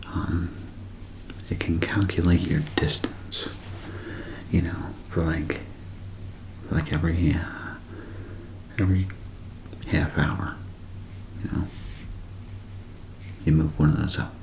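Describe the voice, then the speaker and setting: A man doing a whispered ASMR show-and-tell, speaking in a hushed, unhurried way with gentle pauses. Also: rumbling low, level tone, vocal fry, poor audio. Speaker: ASMR creator.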